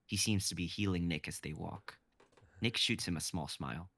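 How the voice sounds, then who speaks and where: Young guy narrating a script reading, deep gravelly voice, even delivery. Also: moderate pace. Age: 20s. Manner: even stage-direction narration. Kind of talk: live dramatic script reading.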